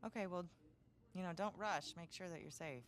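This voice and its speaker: An actress playing a caring adult wife in a video game, gently checking on her husband. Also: lowish voice, fairly quick, a little hiss or hum, close to an everyday voice. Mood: concerned. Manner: concerned, gentle.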